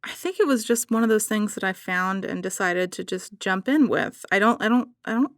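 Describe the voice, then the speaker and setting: A woman talking casually as a podcast guest, rambling a little and haltingly as she thinks aloud. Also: mid-range voice, no room sound, studio quality.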